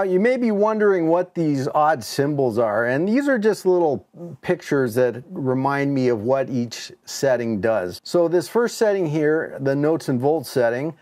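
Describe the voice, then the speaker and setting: A man's voice: on the high side, darkish tone. A middle-aged man giving a casual, conversational hands-on demonstration as a video host. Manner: conversational, explanatory. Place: home studio workshop, indoors.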